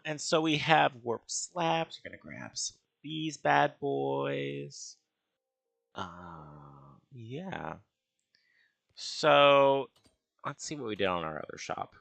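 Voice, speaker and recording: A man with a gravelly voice giving casual gaming commentary as he builds, musing and hesitating as he talks to himself. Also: mid-range voice, a bit bright, dry recording. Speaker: gaming video host. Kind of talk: casual Minecraft building commentary, thinking aloud.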